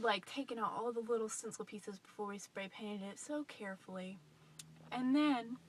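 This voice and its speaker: Young American woman, higher-pitched, telling a story. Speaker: vlogger. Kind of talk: casual personal storytelling to camera. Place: inside a parked car at night. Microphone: phone at arm's length.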